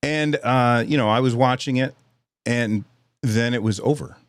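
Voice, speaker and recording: A man hosting a live podcast in casual chat, a little hoarse, rambling and self-deprecating with frequent restarts. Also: mid-range voice, unhurried, dry recording, studio quality. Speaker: podcast host.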